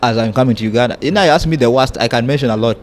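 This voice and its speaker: A man's voice: mid-range voice, very fast, a little hiss or hum. Blunt young man from South Sudan. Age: young adult. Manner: blunt, emphatic, mimics people in quotes. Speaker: guest. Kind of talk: candid interview conversation.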